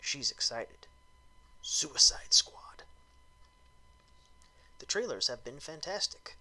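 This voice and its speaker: Man, very bright forceful voice, raspy, theatrical, exaggerated emphasis, comedic dramatic reading. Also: on the high side, big pitch swings, takes it slowly, in a whisper. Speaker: performer reading out a film review.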